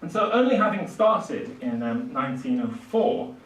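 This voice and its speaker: An older man giving a public literature lecture, speaking haltingly with emphatic swells. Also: high voice, very animated. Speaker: lecturer and author introducing a book. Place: small indoor lecture room at a podium. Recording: distant, echoey small lecture room.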